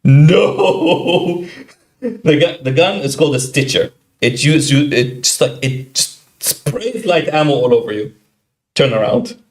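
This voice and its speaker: Animated man telling a gaming story. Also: a little high, slight background noise. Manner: animated, quick, acts out quoted players. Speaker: podcast co-host. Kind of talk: casual storytelling about a video game.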